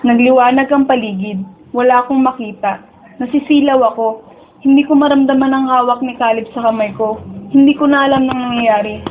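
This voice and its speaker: A woman's voice: high voice, fairly even intonation, fairly quick, narrow, tinny audio, faint music behind, natural voice. A woman with a Filipino accent playing an emotional, pleading young woman who narrates and speaks in a tender, heartbroken radio drama. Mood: grieving. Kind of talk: dramatic first-person narration and dialogue.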